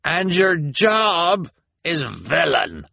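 A man voicing a bloodthirsty townsman who calls out triumphantly and loudly. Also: a little high, big pitch swings, a little slow, breathy edge, no echo, voice pushed up, full character voice. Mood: gloating. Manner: gloating and loud.

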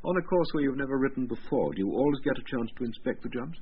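A man interviewing a guest on an archive radio programme, asking short, brisk questions. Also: mid-range voice, animated, husky. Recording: dull old radio recording with faint hiss.

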